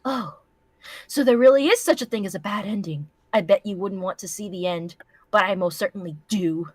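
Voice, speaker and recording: A woman's voice: medium pitch, normal speed, darkish tone, breathy edge, no room sound, close to an everyday voice. A woman voicing a vengeful, melodramatic jilted unicorn pony from a fan story. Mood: menacing. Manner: melodramatic, menacing.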